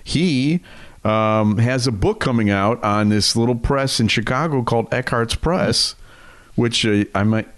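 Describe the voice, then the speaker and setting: A man's voice: medium pitch, a little slow, dry recording. A man co-hosting a podcast in casual conversation, explaining things and tossing in joking asides. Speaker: podcast co-host. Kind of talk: casual chat.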